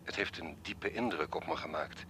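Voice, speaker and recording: An actor playing an elderly, courteous, wistful art collector in a radio drama, speaking in a formal, measured, reflective way. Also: male voice, very deep voice, sharp tone, a little hiss or hum, heavily acted. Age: 70s or older.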